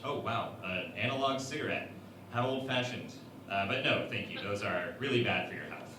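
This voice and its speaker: Distant and very echoey, a man plays a humanlike hologram crewman in a sci-fi parody. He sounds incredulous and questioning, with curious, mild sarcasm and clear noise behind him. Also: fairly low pitch, lively intonation, moderate pace, lightly acted. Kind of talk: parody sci-fi radio play acting. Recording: very echoey, distant mic, noisy.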